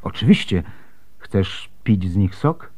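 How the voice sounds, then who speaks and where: A man's voice: deep voice, lively intonation, slow pace, darkish tone, full character voice. An actor playing a worried, protective Byzantine husband and father in a radio drama, speaking earnestly. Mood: tender.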